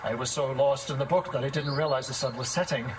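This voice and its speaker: An actor playing a timid, superstitious schoolmaster in an audio drama, speaking in a formal, wordy, nervous way. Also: male voice, mid-range voice, fairly even intonation, fast, noisy background, close to an everyday voice. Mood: startled, embarrassed. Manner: bookish, formal, wordy, jittery.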